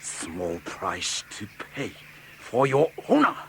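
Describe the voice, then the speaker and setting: Deep, slow old Japanese-accented master. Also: male voice, huge pitch swings, gravelly, fairly bright tone, husky, full character voice. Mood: firm, solemn. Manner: terse clipped broken English. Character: old Okinawan karate master, wise, terse, protective. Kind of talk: audio drama acting.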